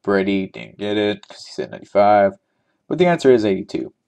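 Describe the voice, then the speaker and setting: A man's voice: lowish voice, expressive, brisk, creaky, darkish tone, clear, resonant tone. Young man rambling over gameplay. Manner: chatty, rambling, laughs often, thinks aloud. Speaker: trivia giveaway host. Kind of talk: casual gameplay commentary.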